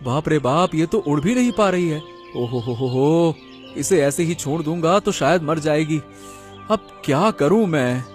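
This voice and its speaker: An honest, soft-hearted village woodcutter from a cartoon tale, acted by a man. His voice is fairly high, animated and earnest, with faint music behind it. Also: unhurried. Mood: puzzled, pitying. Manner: earnest, emotive. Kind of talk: cartoon story character acting. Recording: music under the voice.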